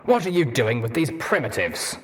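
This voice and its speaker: Gruff, scornful soldier mare for an audio story, commanding and imperious played by a man. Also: medium pitch, very animated, moderate pace, in a large hall, voice pushed up, natural voice. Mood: indignant. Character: gruff commanding mare, armored pony soldier leading rangers.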